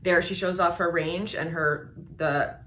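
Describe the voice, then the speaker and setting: Low-voiced woman vocal coach. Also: fairly even intonation, pushed and bright, slight background noise, rough recording. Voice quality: low speaking voice. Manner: analytical, enthusiastic, talks over paused song playback. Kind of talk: reaction video commentary.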